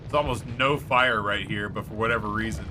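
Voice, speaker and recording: A hoarse man chatting casually with his viewers while streaming a game, thanking supporters and cursing at setbacks. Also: on the low side, brisk, background noise. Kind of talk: live gameplay commentary.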